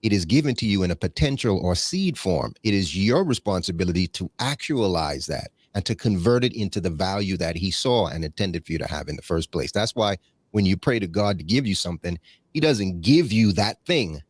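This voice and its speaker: A man's voice: low voice, lively intonation, fairly quick, breathy edge, dry recording. A man from the Bahamas, a guest in an interview, talking in a reflective, rambling way with many fillers and restarts and a preacherly habit of citing scripture. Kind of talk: casual conversational interview.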